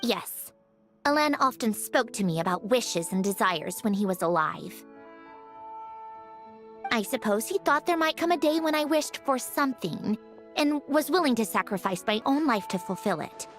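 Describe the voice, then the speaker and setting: A proud female puppet from a video game, lectures with haughty precision. Her voice sits fairly high and swings expressively, prickly at the edges, over background music. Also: lively intonation, normal speed, fairly bright tone. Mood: proud, reflective. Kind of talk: scripted game cutscene acting. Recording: music behind the voice. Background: game soundtrack music.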